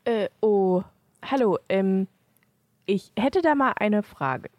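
A woman playing a naive, timid post office customer who speaks awkwardly and haltingly in an amateur audio drama. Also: mid-range voice, expressive, a little mellow, dry recording.

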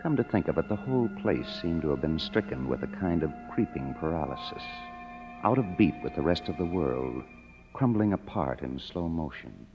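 A man's voice: rumbling low, expressive, moderate pace. An American man acting as a cynical, broke young screenwriter in a 1950s radio drama, wry and sardonic. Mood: wry, weary. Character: cynical, broke young screenwriter narrating his own story. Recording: muffled old radio broadcast, music behind.

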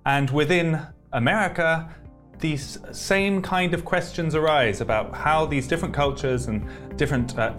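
A man hosting a podcast interview, asking questions in a measured, thoughtful way with hedges. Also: medium pitch. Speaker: podcast host.

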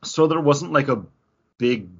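A man's voice: mid-range voice, measured pace, fairly bright tone, breathy edge. A man in his forties co-hosting a podcast, chatting casually with frequent laughs and lots of filler words. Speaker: podcast co-host. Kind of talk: casual chat. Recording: slightly dull lo-fi audio.

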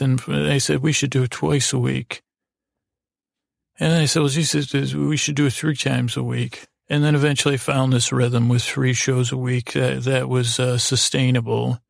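Guy podcaster, super creaky voice, dry sound, halting lulling ramble that keeps restarting, puts himself down. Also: mid-range voice, no room sound. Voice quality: lulling, soothing tone. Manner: halting, meandering, self-deprecating, with many false starts. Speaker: podcast host. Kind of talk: rambling monologue meant to lull listeners to sleep.

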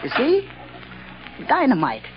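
A man's voice: very high voice, slow, noisy background, heavily acted. An actor in a 1940s radio drama playing a deranged, fearful male night watchman and bomber who stammers, giggles and repeats himself. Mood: gleeful, manic. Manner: stammering, repetitive, giggling, excitable. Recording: muffled old radio broadcast.

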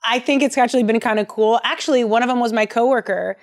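A young adult woman co-hosting a podcast in casual chat, playful and animated, slipping into little voices. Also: fairly high pitch, fast talker. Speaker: podcast co-host.

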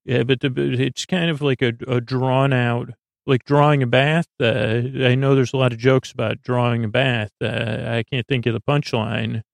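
A man hosting a bedtime podcast in a meandering, halting monologue that trails off into tangents. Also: mid-range voice, little pitch movement, creaky, no room sound. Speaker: podcast host.

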